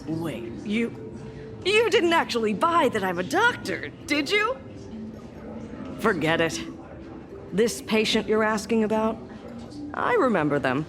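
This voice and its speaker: A woman's voice: a little high, wildly swooping pitch, unhurried, long pauses. A woman voicing a sardonic, exasperated fake doctor in a video game, with a sarcastic, dramatic delivery. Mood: wry, exasperated. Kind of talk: English video game voice acting. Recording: music playing behind the voice.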